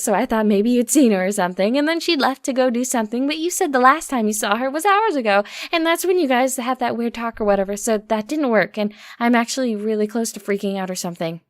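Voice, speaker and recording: A woman's voice: on the high side, no room sound, studio quality, natural voice. A woman voicing a frantic, gossipy young female pony in a storybook reading, rambling breathlessly in run-on sentences. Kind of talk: audiobook character voice.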